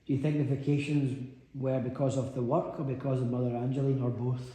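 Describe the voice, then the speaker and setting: A man's voice: mid-range voice, level tone, a little fast, dark tone. A man conducting an interview with conversational questions. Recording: echoey reverberant room.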